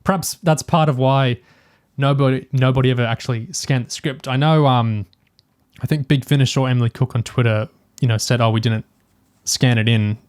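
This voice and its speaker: A man's voice: medium pitch, fairly even intonation, moderate pace, darkish tone, smooth, no echo, studio quality. A man in a casual review discussion as a podcast host, thinking aloud in a hesitant way.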